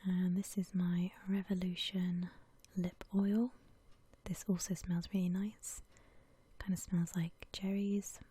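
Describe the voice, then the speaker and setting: A woman speaks in a very breathy, soft whisper with a dark, mellow tone. She keeps it gentle and unhurried, staying within a narrow, even range as she chats casually in ASMR style. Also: fairly low pitch. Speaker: ASMR video creator. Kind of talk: whispered ASMR, casual makeup chat voice-over.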